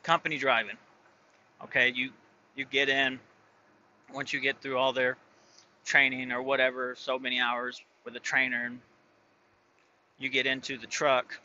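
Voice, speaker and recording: A man's voice: mid-range voice, monotone, normal speed, long pauses, sharp tone, highs cut off, a little hiss or hum. A man who drives his own truck, talking casually and rambling, full of fillers and restarts. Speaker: truck driver and owner operator. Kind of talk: casual vlog talk.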